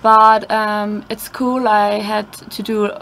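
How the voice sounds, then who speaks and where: A woman's voice: medium pitch, level tone, slow pace, vocal fry, fairly bright tone, slight background noise. A young woman from Austria, an exchange student guest who sounds cheerful and agreeable. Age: young adult.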